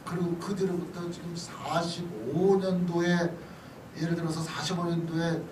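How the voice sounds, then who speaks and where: A middle-aged man, a pastor, giving a conversational sermon-like lecture, often checking in with his listeners. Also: a little high. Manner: conversational, punctuated with short checking questions to listeners. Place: church hall. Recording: distant mic in echoey room, noisy.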